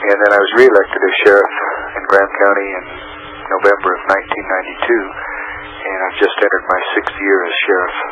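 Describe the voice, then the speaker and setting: Hoarse man with a cold, low voice. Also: monotone, dull audio, noisy background. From Arizona. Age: 40s. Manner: halting, many "uh" fillers. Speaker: guest, county sheriff. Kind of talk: phone-in interview.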